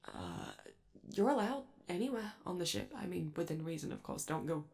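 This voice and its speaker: Woman doing a nervous friendly robot guy for asmr, lowish creaky voice, stammers and rambles, eager to please. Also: huge pitch swings, normal speed, darkish tone, exaggerated voice. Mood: nervous, reassuring. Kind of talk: soft ASMR roleplay monologue.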